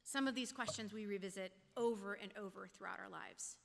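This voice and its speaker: Female lay speaker, reflective sermon read, forceful bright tone, long hall reverb. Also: medium pitch, a little fast, gravelly. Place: church sanctuary, speaking to congregation. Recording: echoey church hall.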